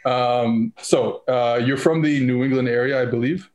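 A man's voice: medium pitch, normal speed, a little mellow, roomy sound. A man hosting a podcast, rambling and cracking awkward jokes in casual chat. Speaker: podcast host.

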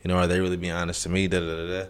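A man in his thirties co-hosting a casual podcast, talking in a relaxed, reflective way with frequent "you know". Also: deep voice, rapid-fire, clear, resonant tone. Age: 30s. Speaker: podcast co-host. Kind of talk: casual chat.

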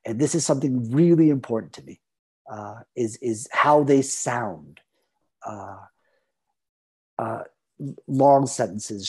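A man with a Latin American Spanish accent, speaking as an author in a reflective conversation, halting with fillers and restarts. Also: medium pitch, a little mellow, slightly rough. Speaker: author answering an interviewer.

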